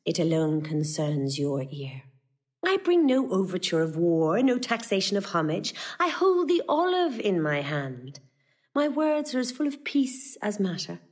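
A woman's voice: fairly low pitch, big pitch swings, measured pace. An actress playing a saucy, eloquent young woman disguised as a male messenger, in a declamatory, courtly classical Shakespearean style. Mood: bold, persuasive. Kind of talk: classical stage acting of Shakespeare.

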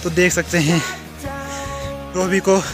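A young man narrates his day into a phone held at arm's length, outdoors on hill roads and inside a car. His voice sits high and he talks to his viewers in a chatty, easygoing way. Music plays clearly underneath. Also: level tone, slow pace. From Uttarakhand hills, India. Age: young adult. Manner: chatty, addresses viewers directly. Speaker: vlogger. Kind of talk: casual travel vlog narration. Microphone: handheld phone camera at arm's length.

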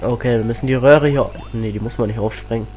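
Chatty teenage gamer with a cold. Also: male voice, mid-range voice, fast talker, soft background music. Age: teens. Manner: chatty, excitable, rambling asides. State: has a cold. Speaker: let's play host. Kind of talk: casual game commentary.

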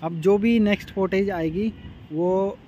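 A man's voice: high-pitched, a little slow, warm tone, highs cut off. A young man chatting casually to camera as a motovlogger, explaining each step to his viewers, recorded outdoors on a phone and then on a helmet-mounted camera. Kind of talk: casual vlog talk to camera. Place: outdoors by a city roadside, later riding a motorbike. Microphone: phone camera, then helmet-mounted action camera. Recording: noisy street recording with traffic and wind. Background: traffic and vehicle noise.

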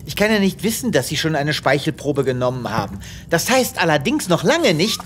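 A man's voice: on the high side, big pitch swings, fairly quick, fairly bright tone, a little hiss or hum. An actor playing a weary, exasperated male space veterinarian in an audio drama, dramatic and given to muttering. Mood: irritated. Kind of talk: German audio drama acting.

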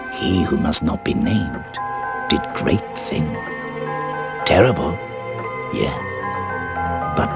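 An actor playing an eccentric, eerie old British wand maker in a video game, speaking in a theatrical, courteous, drawn-out way. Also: male voice, extremely low pitch, gravelly, exaggerated voice. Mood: ominous, musing. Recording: game music behind the voice.

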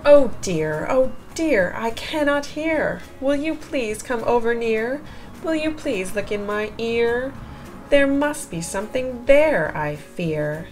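A woman's voice: a little high, animated, slow pace, soft background music, voice pushed up, low-quality recording. A woman voicing a worried storybook creature, anxious and pleading.

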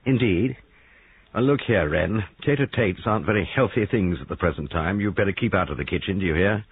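A man's voice: deep voice, fairly quick, pitched above the performer's own voice. A man voicing a jealous, angry husband in an audiobook story, curt, domineering and accusing. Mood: angry, commanding. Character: Giles, jealous angry husband, gruff and commanding. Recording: narrow-band lo-fi radio-like sound.

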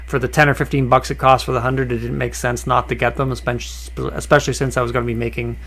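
A man explaining a woodworking project in a relaxed, conversational voice-over, with frequent "uh" fillers and small restarts. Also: mid-range voice, fast. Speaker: woodworking channel host. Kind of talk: voice-over narration of a workshop project.

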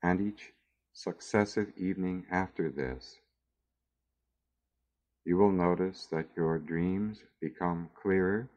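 A man with a very deep voice leads a guided meditation. He speaks very slowly and soothingly, leaving long pauses between short phrases. The recording is dull and somewhat lo-fi. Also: a little mellow.

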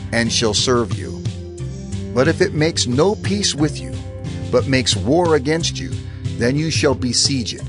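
A man narrating scripture in a measured, formal way for an audio drama. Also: mid-range voice. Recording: studio narration over music.

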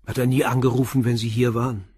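An actor playing a calm, persistent male police inspector who questions tersely and methodically in a radio crime drama. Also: medium pitch, quick pace, husky, no room sound, clean studio sound, close to an everyday voice. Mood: probing.

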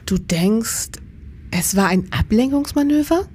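A woman's voice: a little high, animated, measured pace, darkish tone, husky. A woman acting in a crime radio play as an attentive, supportive police investigator. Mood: alert, questioning. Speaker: radio drama actor.

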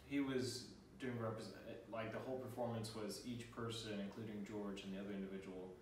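A man's voice: fairly low pitch, flat delivery, a little fast, a little hiss or hum. A young man chatting casually as a guest, laid-back and loose with filler words. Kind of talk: casual conversation. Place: living room indoors. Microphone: far camera. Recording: echoey room from far off.

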